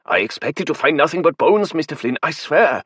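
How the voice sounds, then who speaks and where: A man voicing a frightened male academic, pleading in a shrill, near-screaming voice. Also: lively intonation, normal speed, a bit bright, breathy edge, no echo, studio quality.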